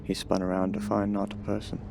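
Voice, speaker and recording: A male storyteller narrates a sinister tale in a low, creaky voice. His delivery is ominous and theatrical yet stays in a narrow pitch range, with music underneath. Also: monotone, fairly quick, noisy background. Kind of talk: dramatic scripted horror narration.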